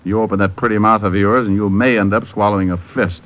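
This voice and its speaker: An actor as a cocky private eye with a lowish voice. He is breezy and sardonic, tossing off hard-boiled wisecracks in vintage radio drama style. The old recording sounds muffled. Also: steady tone, fast talker, close to an everyday voice. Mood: cocky, needling. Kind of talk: 1940s-50s radio detective drama acting and narration.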